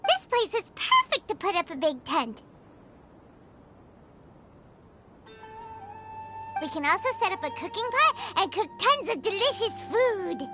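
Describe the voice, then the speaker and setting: A tiny, chirpy fairy girl from a video game, with an extremely high, squeaky voice that leaps all over the place. She is eager and easily spooked, and she always talks about herself in the third person. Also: very animated, normal speed, music in the background. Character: tiny chirpy female fairy companion, excitable.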